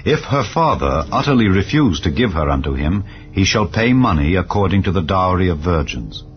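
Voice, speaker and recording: A man narrating scripture in a solemn, measured, declarative manner, as in a dramatized Bible reading. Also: deep voice, sing-song, highs cut off. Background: clear background music.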